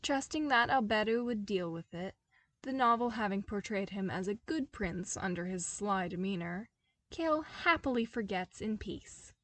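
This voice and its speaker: A woman's voice: medium pitch, animated, moderate pace, fairly bright tone, no echo. Woman narrating a fan story, dry sound. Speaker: podfic reader. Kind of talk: scripted narration.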